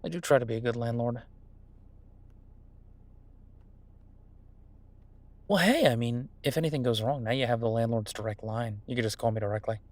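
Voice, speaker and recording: A dry, close recording of a man acting as a friendly young-money CEO in an intimate audio roleplay. His pitch sits in the middle, and he rambles casually and self-deprecatingly, tripping over his words like an awkward old friend. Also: fast, dry recording, natural voice. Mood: sheepish, wry. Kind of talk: intimate one-sided roleplay audio drama, conversational.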